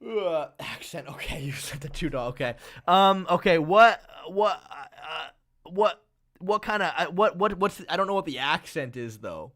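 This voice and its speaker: A man's voice: fairly high pitch, expressive, moderate pace, a bit bright. Man chatting loosely on a stream. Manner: loose, rambling, chatty with viewers. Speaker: streamer host. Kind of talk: casual live-stream chat.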